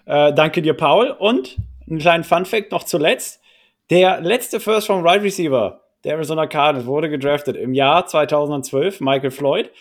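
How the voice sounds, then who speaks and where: A man's voice: a little high, moderate pace. Jokey male podcast host. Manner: jokey, colloquial. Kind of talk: casual podcast hosting.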